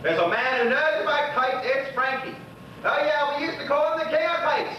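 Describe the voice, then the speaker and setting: An actor playing a lonely, chatty old widower in a rambling stage monologue in Bermudian dialect. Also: male voice, very high voice, normal speed. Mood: chatty, reminiscing. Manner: rambling, conversational, muttered asides to himself and others. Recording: muffled, echoey distant stage recording with noise.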